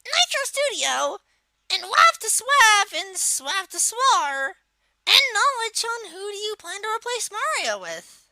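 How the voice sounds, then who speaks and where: A performer voicing a small turtle-like video game villain kid, giving a casual step-by-step software tutorial in a halting, hesitant way. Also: extremely high pitch, sing-song, no echo, full character voice. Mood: upbeat, instructive. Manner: halting, hesitant, trailing off in asides. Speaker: tutorial presenter.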